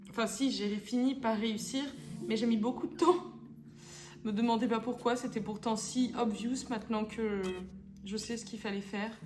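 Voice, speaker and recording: A young woman from France giving casual let's-play commentary, chatty and hesitant with many fillers and English phrases dropped in. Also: mid-range voice. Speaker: gaming YouTuber. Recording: echoey room with background music. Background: game music.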